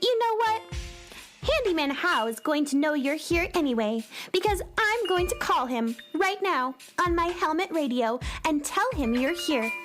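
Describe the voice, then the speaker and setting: A woman's voice: sits high, expressive, moderate pace, a bit bright, faint music behind. A woman playing an earnest, perky little girl space heroine in a children's radio comedy, exclaiming with indignation. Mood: defiant. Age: child figure.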